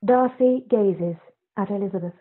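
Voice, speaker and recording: A woman's voice: medium pitch, moderate pace. A woman reading scripted narration for a radio play over a video call. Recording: dull video-call audio.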